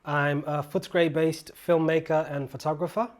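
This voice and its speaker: A man, a filmmaker speaking in a measured, reflective way about his community. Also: fairly high pitch, steady tone.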